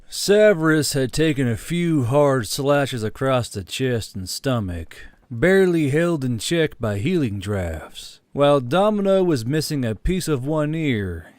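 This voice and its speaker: A man's voice: medium pitch, darkish tone, no echo. A man reading a scripted fantasy war story as an audiobook narrator, close on the microphone.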